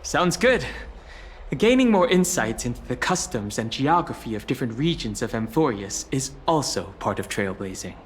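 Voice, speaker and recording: An actor playing a calm, courteous young male adventurer in a video game. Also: mid-range voice, moderate pace, a little hiss or hum, lightly acted. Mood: calm, agreeable. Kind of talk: scripted video game dialogue.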